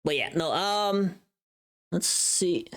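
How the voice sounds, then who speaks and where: A woman's voice: on the low side, animated, slow. A woman chatting casually and candidly on a livestream while she works on recording, talking to her viewers. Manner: candid, swears casually, reads and answers chat. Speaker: live streamer.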